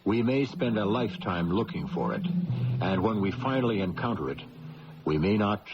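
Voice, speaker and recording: Low-voiced, theatrical male radio host. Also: normal speed, noisy background. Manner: wry, theatrical, philosophical. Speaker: program host. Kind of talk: scripted mystery anthology narration. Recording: muffled old radio broadcast.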